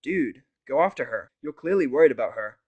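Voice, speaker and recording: A man voicing a laid-back, caring young female pony with slangy, animated delivery in a fan fiction audio story. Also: medium pitch, fairly quick, pitched above the performer's own voice, close to an everyday voice. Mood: urging.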